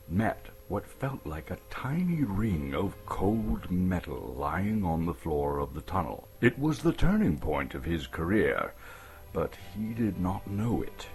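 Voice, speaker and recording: A man narrates a story in a deep, slow voice. His delivery is theatrical and suspenseful, rising and falling widely, with emphatic pauses. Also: darkish tone. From Canada. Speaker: actor reading an audiobook.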